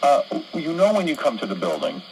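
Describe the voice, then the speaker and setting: Lively male radio host, prodding banter. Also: fairly high pitch, fairly quick, low-quality recording. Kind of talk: conversational interview banter. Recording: noticeable background noise.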